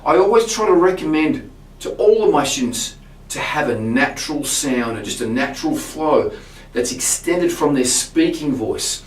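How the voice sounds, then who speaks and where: A man with a slightly high speaking voice teaches singing technique, recorded from some distance in a roomy space. He talks in an easy, unhurried way and often repeats words as he restarts a thought. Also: lively intonation. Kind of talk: explaining and demonstrating to camera.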